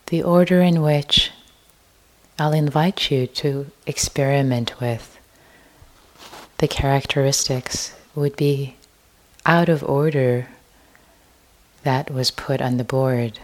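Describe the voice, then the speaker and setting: A woman meditation teacher leading a guided meditation in a gentle, hushed way, leaving long pauses. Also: rumbling low, rough recording.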